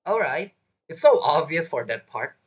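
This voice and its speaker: A game streamer with a lively, swinging voice gives casual commentary. They joke and tease, talking back to the characters. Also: mid-range voice, normal speed, a little hiss or hum. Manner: joking, teasing, talks back to characters.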